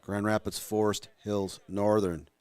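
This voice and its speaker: A man with a hoarse, raspy voice giving color commentary on a youth soccer match, measured and explanatory. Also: lowish voice, level tone, darkish tone.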